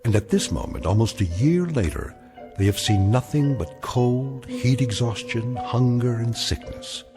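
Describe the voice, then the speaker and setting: A man narrating an eerie radio drama in a measured, solemn, deliberate way. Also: mid-range voice, sing-song, creaky. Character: grave omniscient male storyteller of eerie tales. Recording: music behind the voice.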